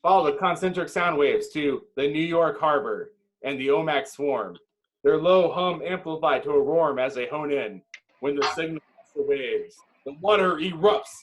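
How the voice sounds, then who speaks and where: A man with a fairly high voice narrates an action screenplay. He reads the stage directions dramatically, as narrator for a table read. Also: normal speed, background noise.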